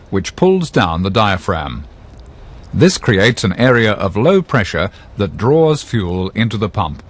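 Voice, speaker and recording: Husky male narrator with lively intonation. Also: lowish voice. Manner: measured, precise, explanatory. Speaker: narrator of an educational film. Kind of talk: scripted instructional narration.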